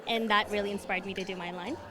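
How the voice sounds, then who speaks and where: A woman's voice: mid-range voice, steady tone, fast. A young woman from Dubai, a fashion design graduate, talking about her work in an earnest, slightly hesitant way. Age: young adult. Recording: crowd chatter behind.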